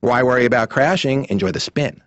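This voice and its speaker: A man's voice: mid-range voice, fairly quick, fairly bright tone, no room sound, natural voice. A man in his thirties playing a neurotic, worried cartoon patient, delivering emphatic stand-up style monologue. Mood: anxious, incredulous. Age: 30s. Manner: emphatic, worried, mimics others. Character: neurotic thirty-nine-year-old therapy patient, anxious about aging. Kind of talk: stand-up monologue in an animated sitcom. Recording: dull, muffled cartoon audio.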